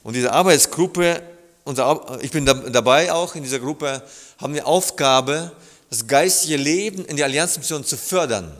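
A middle-aged man from Germany preaching a sermon, earnest and halting, with restarts and emphatic appeals. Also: on the high side, a bit bright, big-room sound.

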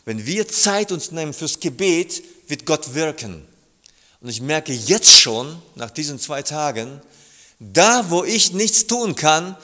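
A middle-aged man from Germany preaching with a fairly high voice. He talks earnestly, with halting restarts that build into emphatic appeals. His words ring out with a long reverb, as in a church hall. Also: sharp tone. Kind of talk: sermon.

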